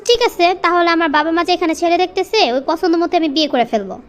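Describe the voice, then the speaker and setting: Actress as very high-pitched, coquettish scheming mistress. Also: female voice, animated, racing. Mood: demanding.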